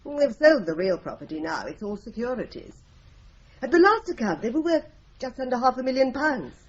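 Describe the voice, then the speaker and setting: A composed, practical secretary. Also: female voice, a little high, sing-song, a little fast, husky. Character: patient, practical longtime legal secretary. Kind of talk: radio drama acting. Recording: dull lo-fi radio recording, faint hiss.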